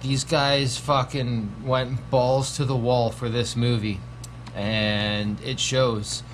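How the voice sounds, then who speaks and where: A man's voice: mid-range voice, flat delivery, slow pace, a little hiss or hum. A man in his thirties giving a casual, profanity-laced horror movie review from a small home room, with lots of ums. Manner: laid-back, swears constantly, frequent ums.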